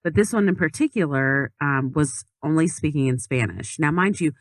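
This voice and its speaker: A woman's voice: low-pitched, animated, moderate pace, no echo. Woman rambling through a travel story. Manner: rambling storytelling with many fillers and restarts. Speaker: podcast guest telling a story. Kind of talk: casual chat.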